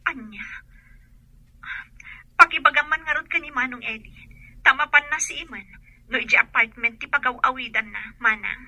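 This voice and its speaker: Vanessa, a grieving daughter. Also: female voice, high-pitched, moderate pace, long pauses, bright, forceful tone, no room sound, highs cut off, heavily acted. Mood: instructing. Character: grieving young woman, Vanessa, a daughter abroad. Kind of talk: radio drama acting.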